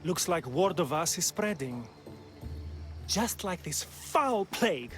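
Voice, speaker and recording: An actor playing a brusque, self-important village magistrate in a video game set in ancient Greece, haughty, scornful and threatening. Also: male voice, fairly high pitch, big pitch swings, takes it slowly, fairly bright tone, full character voice. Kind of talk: dramatic video game acting. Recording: game cutscene with music behind.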